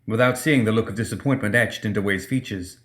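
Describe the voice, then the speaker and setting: A male narrator with a fairly low voice reads a dramatic short story. His delivery is scripted storytelling, as in an audiobook. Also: fast, a bit bright.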